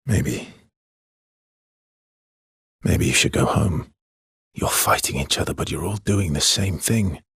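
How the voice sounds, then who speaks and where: An actor voicing a weary, gentle male adventurer in a video game, with a husky, breathy voice and measured, understated phrasing. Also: low voice, animated, no room sound, clean studio sound, exaggerated voice. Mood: earnest, regretful. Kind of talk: video game dialogue lines.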